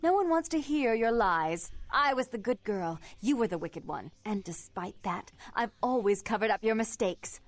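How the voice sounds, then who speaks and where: A woman's voice: mid-range voice, lively intonation, normal speed, few pauses, dry recording, natural voice. A woman playing a prim, controlling vampire sister in a video game, speaking in a clipped, formal, self-righteous and venomous way. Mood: righteous resentment. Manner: clipped, haughty, formal, indignant. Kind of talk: dramatic video game voice acting. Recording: game dialogue over background music. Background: music.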